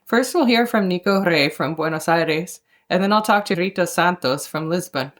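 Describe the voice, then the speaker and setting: A woman's voice: lowish voice, moderate pace. A woman hosting a podcast, giving prepared introductions and putting interview questions to a guest. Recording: roomy, echoing sound.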